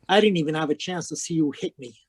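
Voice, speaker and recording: A cynical, hard-boiled private detective, played by a man in a somewhat high voice. He delivers wisecracks deadpan, in the manner of a 1940s radio noir drama. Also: fast, natural voice. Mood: wry narration.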